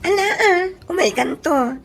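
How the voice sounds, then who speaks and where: An actress plays a helpful but exasperated young friend in an Ilocano radio drama. The voice is high and sensible, with an Ilocano accent, and music plays clearly behind it. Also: female voice, lively intonation, a little slow, full character voice. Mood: urging. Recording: music bed under radio drama dialogue.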